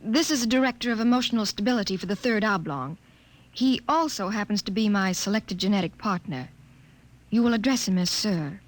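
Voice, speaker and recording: An actress playing a cool, repressed science professor in a 1950s radio drama, formal, clipped and clinical. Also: female voice, medium pitch, expressive, a little fast, lightly acted. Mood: composed. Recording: old radio recording with faint noise.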